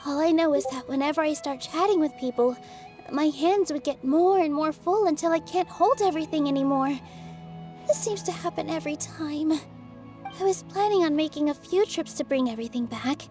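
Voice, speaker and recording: Woman as a modest young dancer in a game, high light voice, soft and polite, game music behind. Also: moderate pace, close to an everyday voice. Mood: gentle. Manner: soft-spoken, polite and self-effacing. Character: gentle young female dancer, kind and modest. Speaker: game character.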